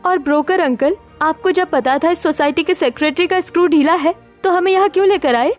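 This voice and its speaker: A woman playing a spirited, scheming young single woman in a story drama, with an expressive manner. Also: extremely high pitch, brisk, soft background music. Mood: indignant. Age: young adult. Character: spirited, scheming young modern single women seeking housing. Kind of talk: acted Hindi story dialogue.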